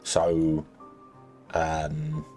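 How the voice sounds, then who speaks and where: A man commentating his gameplay casually, rambling with lots of ums and restarts, and a little croaky as he loses his voice. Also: rumbling low, completely flat delivery, drawn-out words, dark tone, faint music behind. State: slightly croaky, losing his voice. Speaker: let's play host.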